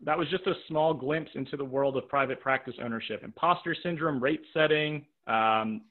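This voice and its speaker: A man leads a live video-call workshop, speaking at an ordinary pitch in a direct, practical way and circling back to repeat his key points. His voice sounds tired from a full day of talking. The audio is narrow and tinny, like a phone line. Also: normal speed. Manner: checks in with rhetorical "right?" and "okay?". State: voice tiring from talking all day. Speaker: private practice coach running a webinar. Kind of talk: live webinar teaching with audience questions. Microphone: video call microphone.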